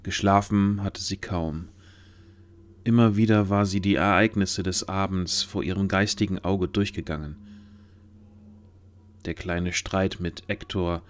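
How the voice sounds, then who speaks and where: A man's voice: deep voice, fairly even intonation, a little slow, long pauses. A man reading scripted narration for a fantasy audio drama. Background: faint music.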